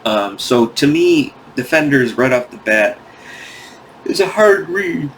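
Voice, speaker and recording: A man from the United States hosting a comic book video, rambling casually, tired and going off on tangents, with some gravel in his voice. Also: fairly high pitch, big pitch swings, takes it slowly, fairly bright tone, slight background noise, poor audio. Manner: meandering, restarts often, goes off on tangents. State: tired, yawning.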